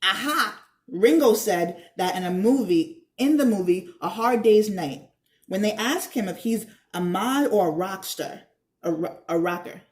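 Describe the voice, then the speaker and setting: A woman's voice: mid-range voice, expressive, a little slow, natural voice. A woman voicing a bookish, competitive young boy in a storybook, with a know-it-all manner. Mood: smug.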